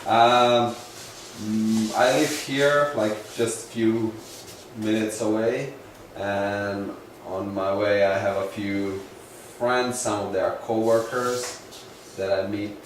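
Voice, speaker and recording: Man from Croatia, lowish unhurried voice, distant roomy recording. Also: fairly even intonation. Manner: hesitant, searching for words, chuckles. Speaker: meetup participant. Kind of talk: casual self-introduction in a group. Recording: echoey room, voice from afar, faint noise.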